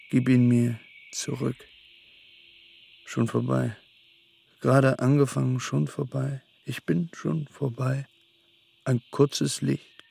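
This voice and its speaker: An actor playing a brooding, desperate young son in a radio drama, speaking in terse, fragmented phrases. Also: male voice, mid-range voice, vocal fry, mellow, breathy edge, heavily acted.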